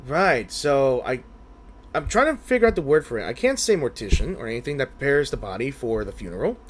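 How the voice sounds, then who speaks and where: A man's voice: medium pitch, sing-song, a little fast. A man doing casual gameplay commentary in a skeptical, rambling manner. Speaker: gaming video creator.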